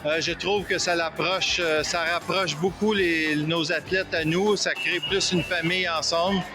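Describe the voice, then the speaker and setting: A man's voice: on the high side, fairly even intonation, measured pace, music in the background. A man with a Québécois accent, a basketball coach, speaking appreciatively in a casual interview with frequent fillers. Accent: Québécois French. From Quebec, Canada.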